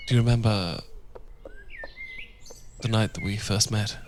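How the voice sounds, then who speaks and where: A British man playing a tender, well-born Regency husband in a romantic ASMR roleplay, speaking softly and formally with affection, often dropping to a whisper. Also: on the low side, fairly even intonation, a little slow, close to an everyday voice. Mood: wistful, adoring. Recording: noisy, birdsong and outdoor sounds behind. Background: birdsong.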